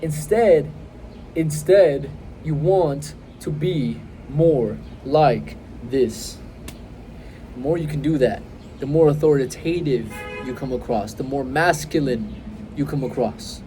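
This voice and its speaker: A young man in his twenties giving confident, emphatic self-improvement advice straight to his phone outdoors, varying his pace and pauses. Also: medium pitch, warm tone, a little hiss or hum. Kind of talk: direct-to-camera advice vlog. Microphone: phone held close.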